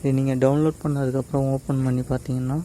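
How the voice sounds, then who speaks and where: A man's voice: mid-range voice, little pitch movement, rapid-fire, warm tone, a little hiss or hum. A man gives a casual step-by-step tutorial, addressing viewers directly and mixing in English words. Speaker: tech tutorial host.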